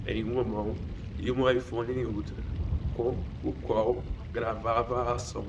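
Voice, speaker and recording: A man's voice: mid-range voice, level tone, normal speed, lo-fi sound. Man narrating an audiobook from far off. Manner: reads with slips and restarts. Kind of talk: first-person audiobook narration. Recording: distant mic, loud background noise.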